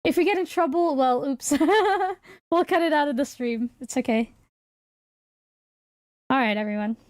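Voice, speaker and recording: A woman's voice: high voice, a little slow, no echo, studio quality. A woman livestreamer chatting casually with viewers, thinking aloud in a hesitant, rambling way. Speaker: livestreamer and VTuber host.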